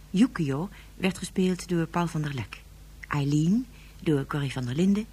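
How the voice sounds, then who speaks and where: Low, husky woman announcer. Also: darkish tone. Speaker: closing announcer. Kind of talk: scripted credits reading.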